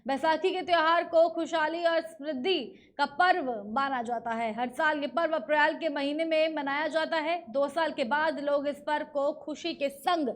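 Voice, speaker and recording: High-pitched Indian woman reading the news. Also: steady tone, a little slow, few pauses. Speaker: news presenter. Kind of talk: scripted news narration.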